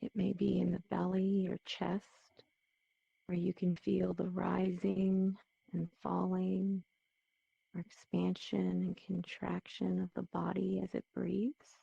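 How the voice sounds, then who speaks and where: A woman leading a guided meditation in a soothing, gentle, unhurried manner, with a muffled, dull sound to the recording. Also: lowish voice, completely flat delivery, vocal fry, husky, no echo. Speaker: meditation teacher.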